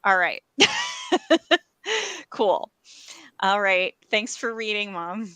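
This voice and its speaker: A woman's voice: medium pitch, steady tone, slow pace, pushed and bright, clear, resonant tone, no echo. A woman hosting a live reading event, talking casually in a chatty, informal, slightly scattered way. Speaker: host of live reading show. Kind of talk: casual chat.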